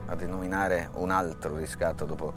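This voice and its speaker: Very deep-voiced male documentary narrator. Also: fairly even intonation, moderate pace, music in the background. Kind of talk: scripted historical documentary narration.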